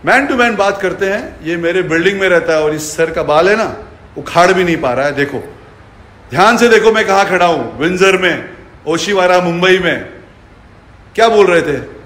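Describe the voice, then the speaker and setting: A man's voice: sits high, fairly bright tone. A man in an angry, taunting, sweary rant to his phone camera, recorded in an echoey living room. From India. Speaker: fitness personality. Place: furnished living room indoors. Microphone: phone at arm's length.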